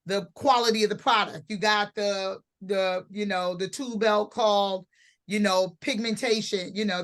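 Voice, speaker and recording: A woman's voice: mid-range voice, a bit bright. A woman hosting a casual panel talk, earnest and rambling, with many restarts. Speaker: host.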